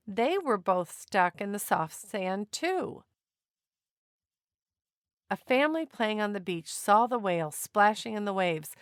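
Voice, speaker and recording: A woman narrating a children's picture book aloud in an expressive, deliberate storytelling manner. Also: mid-range voice, dry recording, studio quality.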